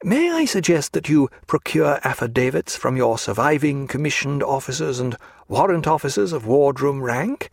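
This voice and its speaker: A man voicing a fussy, polite government clerk who speaks slowly and apologetically. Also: mid-range voice, very animated, vocal fry, dry recording, studio quality.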